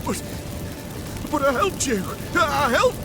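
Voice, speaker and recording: A man's voice: extremely high pitch, big pitch swings, slow pace, full character voice. An actor playing a frightened, ingratiating male captive pleading for himself in a video game. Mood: desperate. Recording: loud music over the voice.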